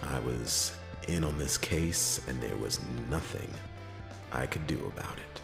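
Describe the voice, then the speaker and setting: Guy doing a weary noir detective, real low voice, slow and halting, kinda evasive, roleplay monologue. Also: animated, vocal fry, heavily acted. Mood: wry, resigned. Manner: hesitant, drawn-out phrasing with pauses and sighing chuckles. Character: world-weary male noir private detective, reluctant and evasive. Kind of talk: first-person noir detective roleplay, hushed dramatic monologue. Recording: echoey long reverb, music behind.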